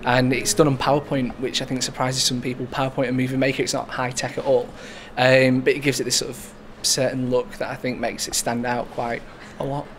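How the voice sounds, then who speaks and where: A man's voice: mid-range voice, level tone, a little fast, few pauses. A man in his twenties talking casually in an interview as a young writer and animator, in an indoor hall. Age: 20s. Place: large screening hall, indoors. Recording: faint room noise.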